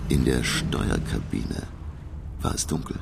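An actor playing a grave professor who narrates his sea voyage slowly and with suspense in a radio play. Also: very noisy, exaggerated voice. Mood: tense, awed. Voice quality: very deep, gravelly. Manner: slow, measured, suspenseful storytelling. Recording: loud music behind the voice. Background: dramatic music.

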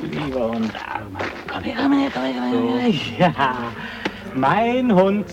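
A man acting a proud, earnest and excitable polar explorer in a radio drama, thinking aloud expressively as if interviewed. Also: high-pitched, a little slow, creaky, highs cut off, heavy background noise, heavily acted. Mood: excited, affectionate. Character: excitable male polar adventurer, proud, earnest, devoted to his dog.

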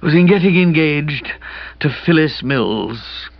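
A man voicing a dejected young gentleman in a comic audiobook, husky and plaintively explaining himself. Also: on the high side, animated, takes it slowly, no room sound, lo-fi sound, voice pushed up, exaggerated voice. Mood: glum, reluctant. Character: dejected young gentleman friend confessing romantic woes.

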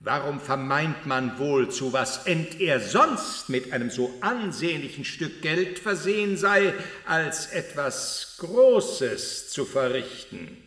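In a roomy space, away from the microphone, a ruthless military prosecutor in a historical radio play. His voice is highish and animated. He declaims his accusations in grand rhetorical style. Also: slow pace, few pauses, recorded from a distance, full character voice. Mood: accusing, indignant. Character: accusing, ruthless male military prosecutor of the Thirty Years' War.

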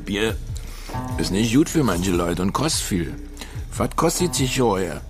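An actor playing a big, cocky, good-natured street newspaper seller in a radio drama, blunt and bantering, with a Berlin accent. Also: male voice, on the low side, big pitch swings, unhurried, music in the background. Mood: sociable.

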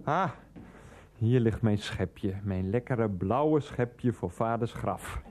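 Casting: irritable everyman grumbler for radio comedy, blunt, with a highly animated pitch range. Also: slow pace, noisy background. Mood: muttering. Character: exasperated, grumbling male customer, easily worked up. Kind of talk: comic radio play acting.

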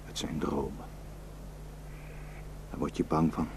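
A man's voice: a bass voice, flat delivery, slow pace, gravelly, darkish tone, slight background noise, exaggerated voice. An actor in a radio drama playing a protective, suspicious, hot-tempered village herdsman and husband, scornful and impulsive. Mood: calm, reassuring.

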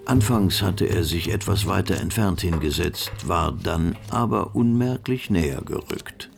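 A man's voice: deep voice, lively intonation, unhurried, creaky. A man narrating a radio drama from a script. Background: music.

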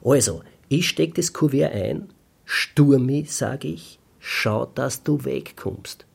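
A shifty petty crook in a radio play, talking his way out of trouble. The voice is gravelly and husky, swinging widely in pitch as he pleads and rambles. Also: lively intonation, takes it slowly, exaggerated voice. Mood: nervous, insistent. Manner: evasive, pleading, rambling confession. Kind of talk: radio drama acting.

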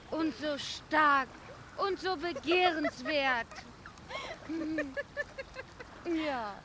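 An actress playing a seductive, mocking river nymph in a fantasy radio play, with a coquettish way of talking. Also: female voice, high-pitched, animated, noisy background, exaggerated voice. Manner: coquettish, teasing, drawn-out delivery. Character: playful, mocking, seductive female Rhine water nymph.

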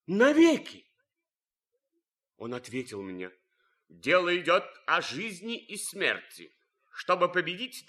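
An actor playing an anguished, idealistic soldier torn by conscience in a radio drama, theatrical and swinging from hushed to fervent. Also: male voice, on the high side, full character voice. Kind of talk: radio drama acting, impassioned monologue.

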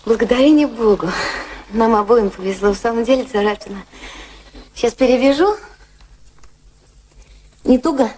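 Actress as a high-voiced, mellow, insistent society lady. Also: moderate pace, long pauses, slight background noise, close to an everyday voice. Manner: solicitous, firmly commanding. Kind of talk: radio play acting.

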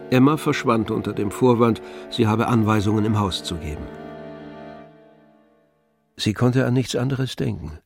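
A man narrating a literary radio drama in a measured, composed storytelling manner. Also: lowish voice, gravelly, a little mellow. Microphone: close, dry studio microphone.

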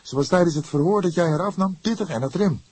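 A man voicing a younger male detective in an audiobook, excitable, emphatic and sceptical. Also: high voice, fast, breathy edge, no room sound, voice pushed up. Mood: doubtful. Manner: incredulous, emphatic.

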